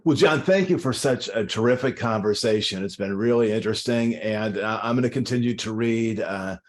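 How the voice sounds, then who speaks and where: A man's voice: on the low side, moderate pace. A man hosting a public policy interview series, thanking his guest and signing off over a video call. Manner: gracious, thanking. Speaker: host. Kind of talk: interview sign-off.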